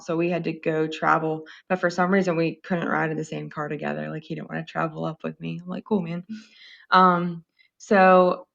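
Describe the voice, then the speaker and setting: A hoarse woman co-hosting a podcast, casually rambling through a dream story and laughing often. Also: low voice, fairly bright tone, muffled recording. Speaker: podcast co-host. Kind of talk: casual chat, telling a dream.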